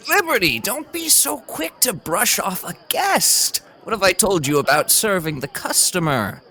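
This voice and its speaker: Courtly gentleman proprietor with swooping intonation. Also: high voice, big pitch swings, unhurried, exaggerated voice. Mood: commanding, gracious. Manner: courtly, formal, old-fashioned phrasing. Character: genial, smooth-talking older gentleman hotel proprietor. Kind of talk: period audio drama acting.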